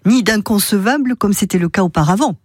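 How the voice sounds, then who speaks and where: A woman hosting a daily radio show with a bright, smiling presenter tone. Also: mid-range voice, a little fast, slightly rough, no room sound, studio quality. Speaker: radio host.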